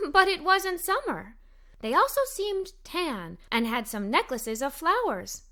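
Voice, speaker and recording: Bright, high-pitched woman reading a children's story. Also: slow pace. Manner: expressive, playing a cheerful young girl as first-person narrator. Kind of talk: scripted read-aloud storytelling for kids.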